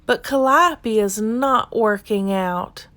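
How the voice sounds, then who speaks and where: Woman acting a high-voiced, no-nonsense ranch boss woman. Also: slow pace, a bit bright. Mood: apologetic. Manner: folksy, commanding delivery. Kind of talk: children's storybook character reading.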